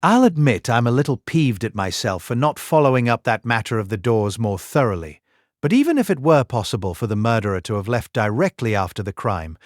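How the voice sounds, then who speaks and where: A man performs a seasoned old police inspector in a mystery drama. He grumbles shrewdly in formal, old-fashioned phrases, his pitch moving freely around a middle register. The recording is dry, with music behind. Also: dry recording, close to an everyday voice. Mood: peeved. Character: Queen, older male police inspector, gruff, seasoned father. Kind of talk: full-cast audiobook mystery drama.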